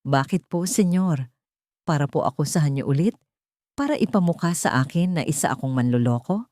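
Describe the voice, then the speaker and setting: A woman's voice: sits low, expressive, moderate pace, mellow, no room sound, clean studio sound. A woman voicing a humble, wounded young servant woman from the provinces, respectful and earnest, in an audiobook drama. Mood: hurt, weary.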